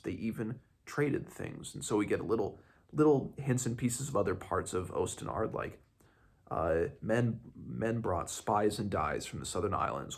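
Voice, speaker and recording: Male read-along host, very deep register with heavy vocal fry, casual and halting delivery. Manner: halting, searching for words, self-correcting. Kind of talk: casual book discussion with some read-aloud passages.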